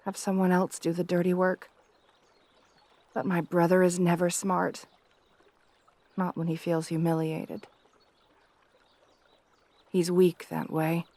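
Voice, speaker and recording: Casting: actress as gentle princess bride for romantic ASMR audio drama; unhurried, hesitant, deferential, very dry close sound. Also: female voice, on the low side, little pitch movement, no echo. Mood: confiding. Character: shy, gentle, devoted young princess bride.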